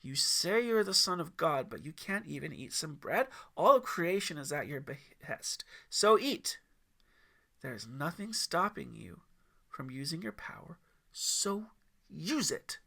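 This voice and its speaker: A man's voice: a little high, very animated, unhurried, gravelly, pushed and bright, exaggerated voice. A man voicing the devil Lucifer as a scornful, theatrical tempter in an audiobook story, mocking and drawing out his emphasis. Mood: challenging, demanding.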